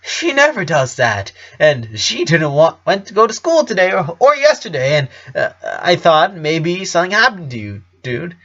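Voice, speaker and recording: A man's voice: fairly high pitch, huge pitch swings, moderate pace, few pauses, bright, forceful tone, muffled recording, pitched above the performer's own voice, heavily acted. A man voicing an anxious, friendly teenage boyfriend in a story reading, stammering and slangy. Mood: frantic worry.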